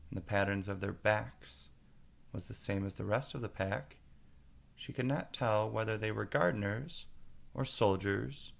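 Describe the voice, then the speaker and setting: A man's voice: fairly low pitch, vocal fry. A man narrating a children's storybook as a read-aloud.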